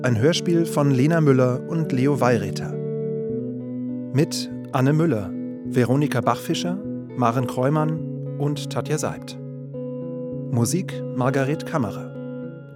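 Male radio announcer over loud guitar music. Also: medium pitch, unhurried, long pauses. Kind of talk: scripted radio credits announcement. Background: plucked guitar music.

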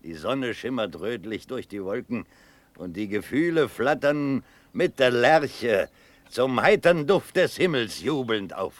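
An actor playing a gruff, hearty old Prussian cavalry colonel in a declamatory, commanding radio drama style. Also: male voice, medium pitch, lively intonation, measured pace, dry recording, lightly acted. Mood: hearty, expansive. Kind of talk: German classical verse radio drama acting.

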